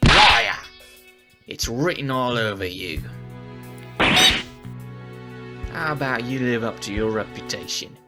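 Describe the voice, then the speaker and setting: Man playing a slow-drawling smug animal criminal. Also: mid-range voice, lively intonation, over loud music. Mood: taunting. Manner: drawling, mocking. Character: sly taunting male animal criminal, smug and cynical. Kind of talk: fan audio drama villain acting.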